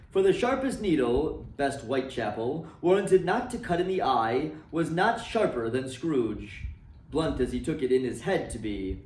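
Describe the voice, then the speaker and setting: Man reading a classic tale expressively. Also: a little high. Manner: expressive, swooping phrasing. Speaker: reader for a library. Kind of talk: dramatic storybook reading. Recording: roomy, distant mic.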